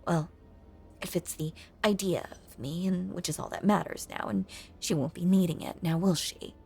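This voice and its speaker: A woman playing a lonely, possessive female ghost in an intimate audio drama monologue, hushed and coaxing, with genteel old-fashioned phrasing and a breathy voice that often sinks toward a whisper. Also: lowish voice, steady tone, normal speed, soft background music. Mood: sweetly sinister.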